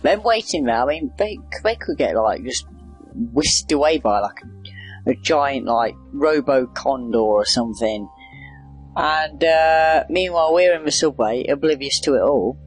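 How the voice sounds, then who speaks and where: A man's voice: mid-range voice, animated, measured pace, slightly rough, soft background music, poor audio. A man giving casual, rambling video game commentary, wry and chatty as he reacts aloud. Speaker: gamer doing a video game playthrough.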